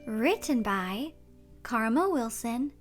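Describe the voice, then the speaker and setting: A woman hosting a children's read-aloud, telling a picture book with deliberate, expressive delivery. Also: fairly high pitch, faint music behind. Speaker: children's storyteller and host.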